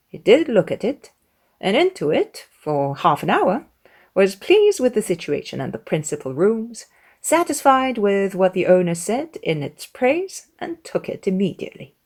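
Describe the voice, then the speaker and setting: A woman's voice: mid-range voice, darkish tone. A woman reading a classic novel aloud as an audiobook narrator, in a scripted literary storytelling style.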